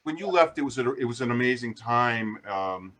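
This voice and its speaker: A man's voice: mid-range voice, expressive, moderate pace, crowd noise. A man interviewing an artist in a casual conversation, often repeating words as he starts a point. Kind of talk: casual video-call interview.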